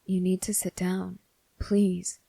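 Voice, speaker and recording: Soft, mellow, slow-speaking kind young cadet played by a woman. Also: lowish voice, completely flat delivery, creaky, no room sound, full character voice. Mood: concerned, insistent. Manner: gentle, hesitant, polite, softly reassuring. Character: kind, earnest teenage boy military cadet, soft-spoken and caring. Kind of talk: ASMR character roleplay.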